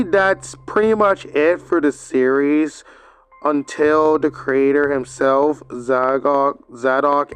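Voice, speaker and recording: A man's voice: a little high, smooth. A man giving a casual, rambling video review, recapping with hesitant fillers and restarts.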